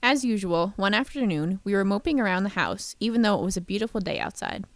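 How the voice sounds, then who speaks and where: A woman's voice: mid-range voice, animated, fast, lightly acted. A woman playing a somber narrator who recalls her childhood in a scripted radio drama. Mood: reflective. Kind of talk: scripted first-person narration in radio play. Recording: loud music bed under voice.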